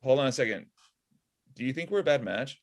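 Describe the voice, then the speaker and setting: A man plays a frustrated, sardonic inventor in his thirties. It is an everyday, mid-pitched voice in a comedy table read over a home video call. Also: animated, a little fast, natural voice. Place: small room at home.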